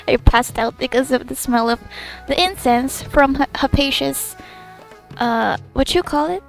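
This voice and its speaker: A woman commenting casually on a video game. Her voice is high and creaky, and she gushes and thinks out loud in a giggly way, with faint music underneath. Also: measured pace. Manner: giggly, gushing, thinks aloud. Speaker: gamer reacting to a story quest. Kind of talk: casual let's play commentary.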